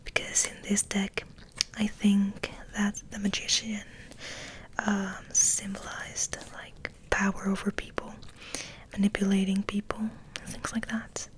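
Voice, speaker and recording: A woman giving a soft, breathy, whispered ASMR ramble as host, in a gentle, unhurried, musing way. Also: medium pitch, monotone, low-quality recording.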